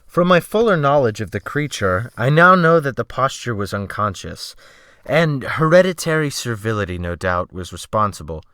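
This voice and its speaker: A man narrating an audiobook as a dramatic reading, with measured, formal and emphatic phrasing. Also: medium pitch, sing-song, fairly bright tone, no room sound, studio quality.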